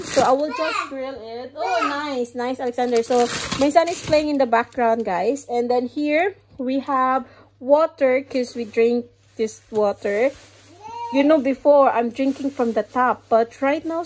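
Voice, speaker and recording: Filipino lady chatting at home, kinda high voice, trails off quiet, soft crinkly noise around. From Philippines. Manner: chatty, talks to viewers as guys, often trails off softly. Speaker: vlogger. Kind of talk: casual grocery haul chat. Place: home kitchen, indoors.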